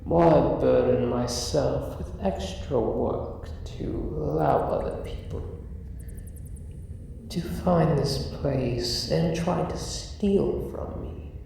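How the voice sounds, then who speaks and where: Slow, echoing, gravelly dragon acted by a man. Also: mid-range voice, warm tone. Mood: pondering. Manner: slow, deliberate, mock-polite and condescending. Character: huge, condescending, possessive male dragon guarding his treasure. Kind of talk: improvised ASMR roleplay monologue. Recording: roomy echo, distant, faint noise, cave ambience. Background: cave ambience.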